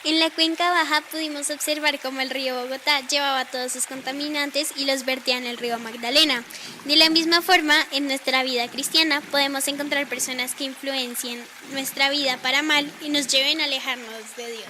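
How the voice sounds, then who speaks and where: A woman's voice: high-pitched, fairly even intonation, brisk. A woman reading a scripted devotional narration. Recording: clear background noise.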